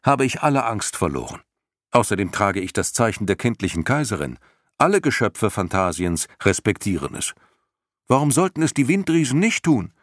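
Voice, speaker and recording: A man reading an audiobook, voicing a fearless, earnest young boy hero who asks questions calmly. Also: fairly low pitch, moderate pace, no echo, clean studio sound. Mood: calm, proud. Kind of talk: audiobook character voice.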